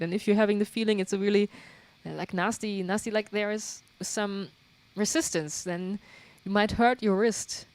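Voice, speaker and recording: A young woman from Germany with a German accent, chatting casually with her livestream viewers, laughing often and using lots of fillers. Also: medium pitch. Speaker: livestream host and guitarist. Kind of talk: casual chat answering viewer questions.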